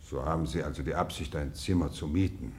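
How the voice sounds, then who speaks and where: An actor playing a reserved, self-important Russian general in a radio drama, formal and condescending toward others. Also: male voice, extremely low pitch, a little mellow. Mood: dismissive, wary. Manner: formal, dismissive, measured condescension. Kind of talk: German radio drama acting.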